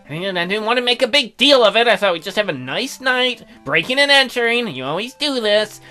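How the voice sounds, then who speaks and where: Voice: medium pitch, animated, fairly quick, pushed and bright. A let's play co-host acting out game dialogue, bantering in a mock-indignant way. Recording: background music under voice.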